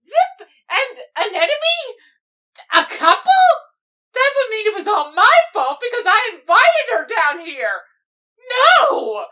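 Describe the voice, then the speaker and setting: A woman's voice: extremely high pitch, sing-song, slow, sharp tone, exaggerated voice. A woman voicing an indignant, melodramatic villainous pony mare in a cartoon fan dub, given to whiny, over-dramatic outbursts. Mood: horrified, outraged. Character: melodramatic, indignant villainous young mare, protective older sister. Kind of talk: fan comic dub voice acting.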